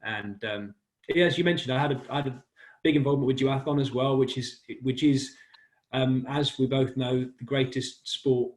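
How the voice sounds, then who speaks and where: A man's voice: medium pitch, normal speed. Chatty man in his forties from London. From West London, England. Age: 40s. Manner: chatty and self-deprecating, laughs easily. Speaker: guest, cycling commentator. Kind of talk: casual interview.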